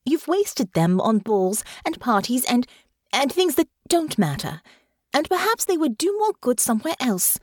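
A woman's voice: high voice, very animated, dry recording, clean studio sound, voice pushed up, full character voice. A woman voicing an earnest young noblewoman who gets flustered and stumbles over her words. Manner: eager, halting, stumbling restarts.

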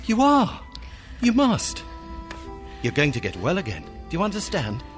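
An actor playing an earnest, emotional young clerk in a radio drama. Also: male voice, high voice, huge pitch swings, measured pace, soft background music, heavily acted. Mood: desperate, denying. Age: young adult. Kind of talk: family radio drama acting.

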